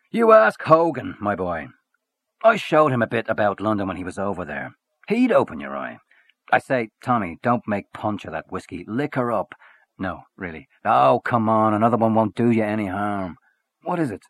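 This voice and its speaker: Man putting on a loud boastful man-about-town. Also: on the low side, heavily acted. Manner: expansive, swaggering, back-slapping.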